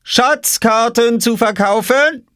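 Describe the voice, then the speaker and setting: A man's voice: high-pitched, very animated, brisk, dry recording, clean studio sound, pitched above the performer's own voice. A man voicing a cheeky, stubborn little boy in a storybook, insistent and proudly calling out.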